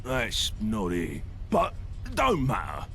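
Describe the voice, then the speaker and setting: An actor playing a simple, good-hearted big brawler in a video game, with a gravelly British voice and a halting, earnest manner that fumbles for words. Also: male voice, lowish voice, wildly swooping pitch, fairly bright tone, soft background music, exaggerated voice. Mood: pleading, hesitant. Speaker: companion character in a video game.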